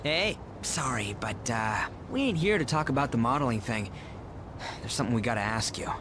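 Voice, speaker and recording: Man as a brash, slangy teenage boy, very animated. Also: mid-range voice, moderate pace, noisy background, close to an everyday voice. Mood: confronting. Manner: blunt, slangy, informal. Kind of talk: casual, expressive video game dub acting. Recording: music behind the voice.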